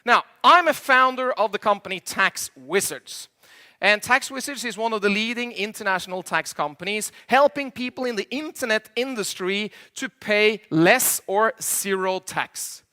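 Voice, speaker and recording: A man's voice: high-pitched, moderate pace, sharp tone. A middle-aged man from Norway with a Norwegian accent, giving a joking stage presentation at a conference and quizzing the audience in slightly imperfect English. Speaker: conference speaker.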